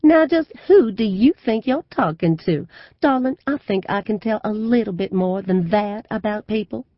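A woman's voice: mid-range voice, normal speed, dry recording, highs cut off, voice pushed up, natural voice. A woman voicing a flamboyant older Southern fortune-teller mother who drawls, wheedles and plays to the crowd. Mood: scoffing.

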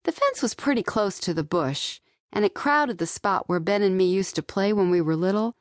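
A woman's voice: mid-range voice, animated, normal speed, no echo, studio quality. A woman reading scripted first-person narration from a young-adult novel as an audiobook narrator. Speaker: author narrating own audiobook. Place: dry studio.

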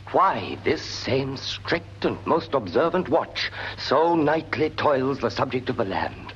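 An actor playing an earnest soldier on night watch, speaking verse emphatically in a classical Shakespearean radio drama. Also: male voice, medium pitch, fairly bright tone. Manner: emphatic, theatrical verse delivery. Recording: muffled vintage recording with hiss.

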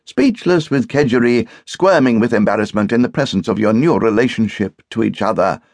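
A man's voice: mid-range voice, lively intonation, fairly quick, darkish tone, no echo, pitched above the performer's own voice. A man voicing a laconic, absent-minded English husband in an audiobook, with a dry, curt, humorous manner. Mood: dryly exasperated.

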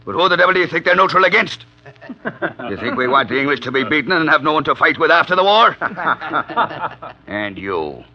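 A man playing a gruff, sardonic Irish commando sergeant major in a 1940s radio drama, commanding and wry. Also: on the high side, sing-song, normal speed, long pauses, bright, forceful tone, faint music behind, full character voice. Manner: commanding, wry, barking orders. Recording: dull old 1940s radio recording.

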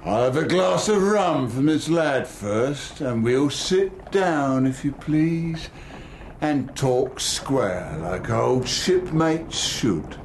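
Sly pirate seaman: slow, insinuating, falsely friendly menace, creaky voice, faint ambience, radio drama. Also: medium pitch, animated, slight background noise. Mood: smug.

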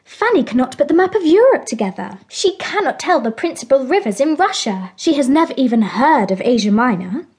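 A snooty, tale-telling young girl of good family in a period radio play. Her voice is very high and lively. She sounds superior and emphatic. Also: brisk, hardly any pauses, slightly rough, exaggerated voice. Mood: gleefully scornful. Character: haughty, tattling upper-class young girl. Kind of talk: period audio drama acting.

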